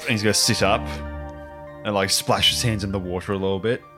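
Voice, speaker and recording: A man's voice: fairly low pitch, fairly even intonation, a little fast, over music. A man playing in a tabletop role-playing game, casually improvising what the character does.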